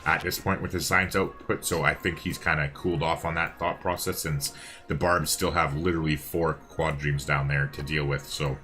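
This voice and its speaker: A man with a very low, bright voice co-casts a strategy game on a stream. He talks casually and rambles through what he is checking, with a readiness to chuckle. Soft music plays faintly behind him. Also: steady tone, moderate pace. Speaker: esports-style co-caster and streamer. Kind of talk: casual live game commentary.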